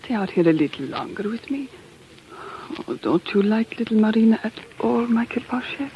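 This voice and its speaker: A desperate russian seductress, coaxing and dramatic, dull hissy 1940s radio sound. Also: female voice, fairly high pitch, animated, moderate pace. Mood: seductive pleading. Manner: coaxing, dramatic, breathless pleading. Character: pleading, seductive Russian commandant's woman, desperate to escape. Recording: dull, noisy old radio recording.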